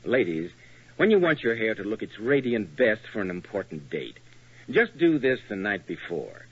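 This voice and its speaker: A male radio announcer delivering a 1940s commercial in a smooth, persuasive pitchman's way. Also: very animated, moderate pace, faint music behind. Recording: dull lo-fi 1940s radio broadcast.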